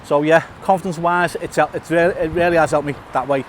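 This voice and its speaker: A man's voice: on the high side, fairly even intonation, quick pace. Casting note: man, lisp, stutters and restarts, vlogging outdoors over faint traffic. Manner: stutters, repeats and restarts words, fillers. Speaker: YouTuber. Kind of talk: casual walk-and-talk vlog. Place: outdoors in a town, by a tunnel.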